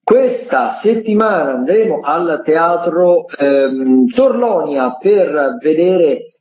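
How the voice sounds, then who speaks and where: A man's voice: on the high side, animated, slow, a little mellow, faint music behind. A man who is a theatre critic, giving the week's theatre agenda on the radio and stumbling through restarts. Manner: hesitant, many fillers and restarts. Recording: dull echoey remote line.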